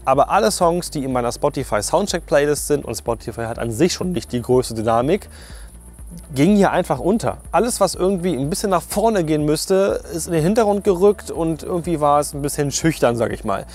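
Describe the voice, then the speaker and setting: A man's voice: fairly high pitch, lively intonation, fairly quick, a little mellow. A man giving a casual, colloquial and opinionated product review as a sound technician.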